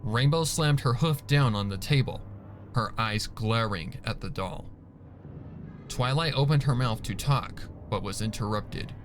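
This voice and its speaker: A man's voice: mid-range voice, measured pace, slight background noise. A man reading fan fiction aloud as a scripted, dramatic story narration. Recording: music bed under the narration.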